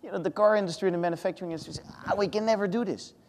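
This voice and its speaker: A man's voice: high voice, very fast, slight background noise. Dutch man in a reverberant hall. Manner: animated, thinking aloud with restarts. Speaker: panelist. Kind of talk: panel discussion. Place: large conference hall.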